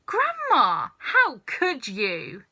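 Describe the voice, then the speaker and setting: A timid little boy of eight from a children's storybook, as a woman reader puts him on. His voice leaps high and sounds bright. He protests in a pleading, squeamish manner. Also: wildly swooping pitch, extremely slow, exaggerated voice. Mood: disgusted protest. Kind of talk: children's storybook character reading.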